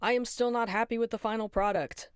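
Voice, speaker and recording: A woman rambling casually about her fan project, tired and apologetic, hoarse and nasal from being sick, on a close home mic. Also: on the high side, fairly even intonation, quick pace, dry recording. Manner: rambling, apologetic, tired, self-deprecating laughs. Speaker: fan animator and voice actor. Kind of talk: casual behind-the-scenes rambling.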